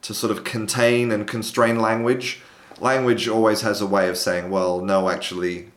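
A man interviewing a guest in a relaxed, hedging conversational way. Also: on the low side, normal speed, reverberant. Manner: easygoing, hedging with "you know" and "sort of".

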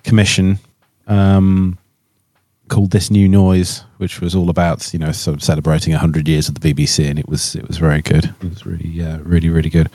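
Low-voiced, halting man, mellow tone. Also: flat delivery, dry recording. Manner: hesitant, halting, often repeating himself. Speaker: podcast co-host. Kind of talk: casual podcast chat.

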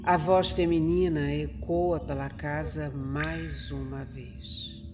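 Slow, dramatic Brazilian male narrator. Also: fairly high pitch, little pitch movement. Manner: dramatic, deliberate storytelling. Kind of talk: scripted horror radio drama narration. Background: music and night insects.

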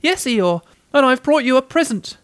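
A man's voice: very high-pitched, big pitch swings, normal speed, smooth, dry recording, voice pushed up. A man voicing a small, timid, anxious piglet in a storybook, earnest and apologetic, with hesitant speech. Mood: eager.

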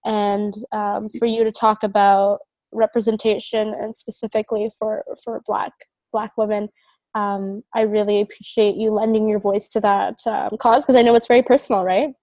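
A woman's voice: medium pitch, little pitch movement, normal speed, warm tone, no echo, poor audio. A woman podcast host interviewing a guest, often affirming her with short interjections. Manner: frequent affirming "mm-hmm" and "yeah". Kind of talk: casual interview conversation.

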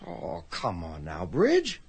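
A man's voice: deep voice, wildly swooping pitch, slow, slightly rough, heavily acted. A man playing a skeptical, exasperated buddy in a radio drama, emphatic and sputtering.

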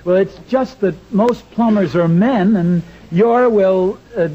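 A muffled vintage radio recording features a mild suburban husband. His voice sits fairly high and he speaks slowly and hesitantly in an old sitcom manner. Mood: apologetic. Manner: hesitant, apologetic. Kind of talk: 1940s radio sitcom acting.

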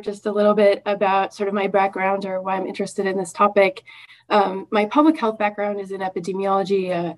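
A woman from Iowa, a physician and guest on a public health podcast, who speaks in a measured way with frequent ums. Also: medium pitch, darkish tone, poor audio. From Iowa, United States. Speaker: physician and researcher.

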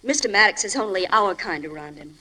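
An actress playing a poised, frank young secretary with a husky voice and dry teasing, in 1940s radio style. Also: female voice, medium pitch, lively intonation, fairly quick, bright, forceful tone, poor audio. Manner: poised, direct, dryly teasing.

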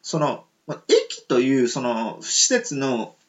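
A man's voice: medium pitch, big pitch swings, slow pace, muffled recording. A man co-hosting a casual radio chat, rambling with many fillers. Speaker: radio show co-host.

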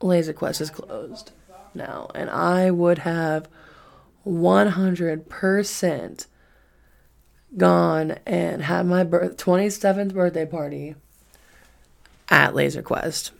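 A woman's voice: on the low side, slow pace, creaky, long pauses. A woman in her twenties chatting casually on a solo podcast, rambling and swearing freely with emphatic repetition. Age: 20s. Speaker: podcast host.

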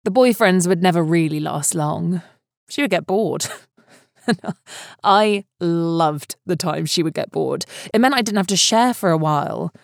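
Lowish-voiced woman reading, dry close sound. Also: expressive, unhurried, gravelly, no room sound. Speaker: podcast announcer and reader. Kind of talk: scripted narration.

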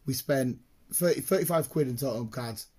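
A British man chatting casually in a rambling, excitable, sweary way, with a slightly croaky voice. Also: medium pitch, brisk. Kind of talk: casual chat, rambling anecdotes.

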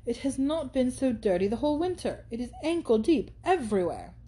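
A woman's voice: high voice, big pitch swings, brisk, mellow, pitched above the performer's own voice. A woman reading aloud and voicing a brash, boastful young man who talks over everyone. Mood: insistent. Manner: blustering, insistent.

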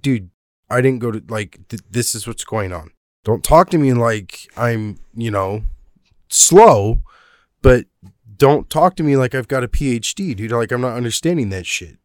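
A man with a deep voice talking in casual chat as a father, blunt and swearing freely, often laughing. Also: dry recording, clean studio sound. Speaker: father, co-host.

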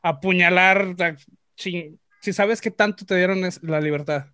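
Animated Mexican man, dry close sound. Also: high-pitched, unhurried, clear, resonant tone, dry recording. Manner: animated, slangy questioning. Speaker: interviewer. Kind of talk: casual interview.

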